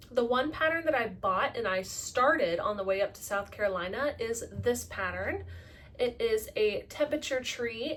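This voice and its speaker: A woman in her late twenties chatting casually about her crafting projects in a relaxed monthly update, with the odd self-deprecating joke. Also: mid-range voice, far from the mic, a little hiss or hum. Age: late 20s. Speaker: crafting vlogger.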